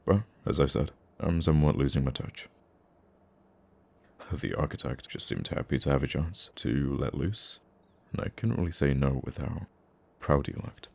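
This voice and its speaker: A man playing a gruff, teasing supervillain with a secret soft side in an intimate ASMR roleplay, dry and grumbling but affectionate. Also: moderate pace, exaggerated voice. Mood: dry, reassuring. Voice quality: very deep, gravelly.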